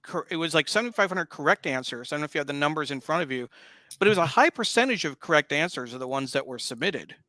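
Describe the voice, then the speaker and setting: A man's voice: a little high, animated, fast talker, pushed and bright, no room sound. A man acting as guest host, asking questions in a conversational interview style.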